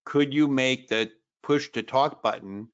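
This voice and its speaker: A man's voice: medium pitch, measured pace, clean tone, dull audio. A man co-hosting a webinar, casually asking and talking about technical matters over a video call. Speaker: webinar co-host.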